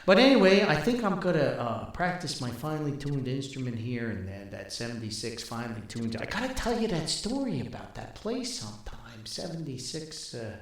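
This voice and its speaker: Older man, mid-pitched voice, folksy storyteller, halting and repetitive, nostalgic, strong echo. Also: very animated. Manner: folksy, rambling, halting with repeated words. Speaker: podcast host, author. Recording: very echoey, reverberant voice recording.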